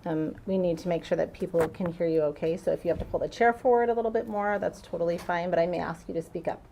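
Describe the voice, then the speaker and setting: Brief: woman lawyer, patient courtroom questioning, slightly low voice. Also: fairly even intonation, fast talker, creaky, slight background noise. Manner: patient, gentle questioning with fillers. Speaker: prosecutor.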